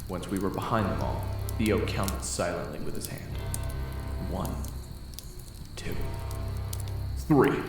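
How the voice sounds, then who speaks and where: A man's voice: low voice, slow, pauses often. A man narrating an audio roleplay in second person, telling the story steadily. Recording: very echoey with music. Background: background music.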